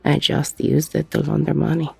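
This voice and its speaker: A woman playing a weary, regretful ex-convict bar owner in an intimate audio roleplay, with a husky, gravelly voice and a reflective delivery full of pauses. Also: low voice, monotone, dry recording, soft background music, heavily acted. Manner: slow, reflective, pausing mid-sentence.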